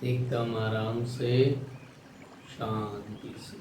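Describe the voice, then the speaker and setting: A man with an Indian accent leading a guided meditation, giving calm, soothing instructions with long pauses. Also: on the low side. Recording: roomy echo, distant mic, faint noise.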